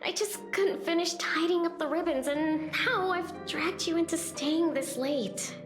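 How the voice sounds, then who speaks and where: A woman's voice: extremely high pitch, unhurried. An actress playing a shy, apologetic schoolgirl who speaks hesitantly, in a game. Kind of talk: game voice acting. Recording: echoey game audio with music. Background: game music.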